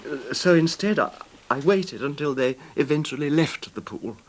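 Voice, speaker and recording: Anguished older English husband, higher-pitched. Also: male voice, expressive. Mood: heartsick, haunted. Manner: halting, haunted, formal. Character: anguished wealthy betrayed husband recounting his torment. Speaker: radio drama actor. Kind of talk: 1970s radio drama acting, narrating a confession. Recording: noisy 1970s radio drama recording.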